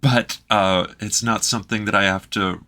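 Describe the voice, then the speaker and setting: Man from Canada, bright tone, lowish pitch, measured and chatty reaction commentary. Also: steady tone. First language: English. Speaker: host reacting to a video.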